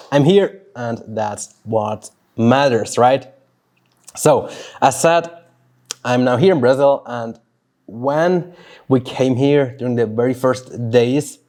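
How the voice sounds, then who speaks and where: A Swiss man in his thirties, a fitness coach whose first language is Swiss German, talking slowly and thoughtfully outdoors in a personal vlog, with pauses and restarts. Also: mid-range voice, a little mellow. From Switzerland. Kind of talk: reflective solo vlog monologue. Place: outdoors, birds and dogs nearby.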